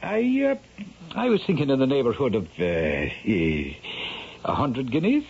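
Lively, blustering Irish rogue. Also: male voice, mid-range voice, measured pace, gravelly, breathy edge, heavily acted. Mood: sly, storytelling. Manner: blustering, stammering, wheedling. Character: sly, garrulous Irish storyteller and anxious old rogue father. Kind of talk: 1970s radio drama acting. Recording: dull, noisy 1970s radio broadcast.

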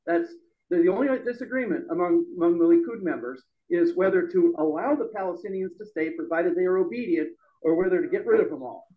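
A middle-aged man giving a loud, emphatic speech at an open-mic forum in a bar room. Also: high-pitched, lively intonation, fast, narrow, tinny audio. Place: bar room with a small audience. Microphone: stand mic.

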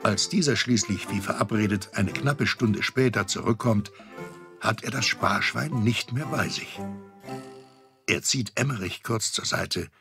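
A genial storyteller with a lowish, gravelly voice. He narrates a scripted children's radio play over faint music. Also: measured pace. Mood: storytelling. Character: genial adult male storyteller.

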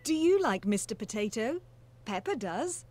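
A woman voicing a kind, patient mother pig in a children's cartoon game, in a gentle motherly manner. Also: fairly high pitch, big pitch swings, moderate pace. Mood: pleasant.

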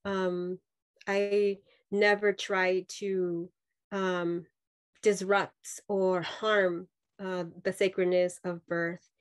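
Woman from Mexico, 30s, earnest and reflective, halting restarts, laptop video call from home. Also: fairly low pitch, flat delivery, smooth. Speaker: nurse-midwife guest. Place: home room with dark sofa.

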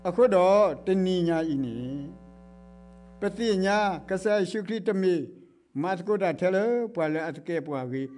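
Impassioned middle-aged Karen preacher. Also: male voice, high voice, animated, a little slow, slight background noise. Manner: impassioned, swinging between loud exclamations and quiet speech, gesturing. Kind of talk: sermon. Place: church stage before blue curtain. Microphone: stand mic close to mouth.

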